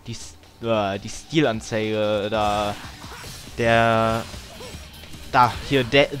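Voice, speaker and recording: Slow-talking man commentating a video game. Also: mid-range voice. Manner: grumbling, mild swearing; tongue clicking. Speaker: let's play gamer. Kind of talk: casual gameplay commentary. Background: video game music.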